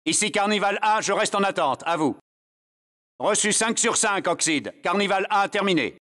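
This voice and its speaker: A man's voice: high-pitched, expressive, normal speed, sharp tone, long reverb tail. A man playing an alert soldier on patrol in a video game, reporting in clipped, precise radio language. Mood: alert, urgent.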